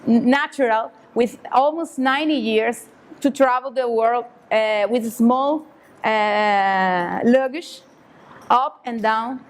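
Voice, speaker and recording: A woman's voice: fairly high pitch, slow. A woman from Cuba, speaking at a seminar in hesitant English full of fillers and restarts. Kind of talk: halting public remarks. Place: conference hall.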